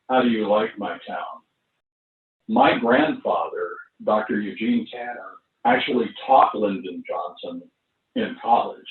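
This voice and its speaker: A man in his seventies or older telling personal stories casually, in an unhurried, halting, anecdotal way, over roomy, distant, thin internet audio. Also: on the low side, phone-quality sound. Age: 70s or older. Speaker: veteran performer telling stories. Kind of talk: casual reminiscing at an online launch party.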